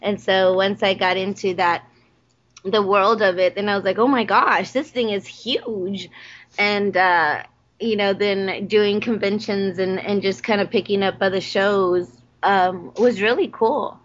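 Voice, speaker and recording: A woman's voice: mid-range voice, fairly even intonation, measured pace. A woman with a Texan accent, a voice actress chatting as a guest, laughing often with many fillers. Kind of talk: casual interview chat. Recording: dull lo-fi podcast audio.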